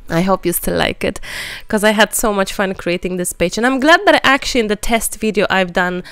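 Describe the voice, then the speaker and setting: A woman from Poland with a Polish accent, chatting happily as she works through a craft tutorial, a little hoarse and rambling. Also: medium pitch, brisk, no room sound. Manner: bubbly, rambling, lively chatter. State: slightly hoarse, clears throat. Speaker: craft channel host. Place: indoors at a craft table.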